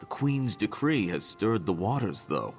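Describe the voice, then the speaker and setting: An actor playing a worried, earnest immigrant merchant in a fantasy video game. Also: male voice, fairly low pitch, very animated, a little slow, soft background music. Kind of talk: video game character dialogue.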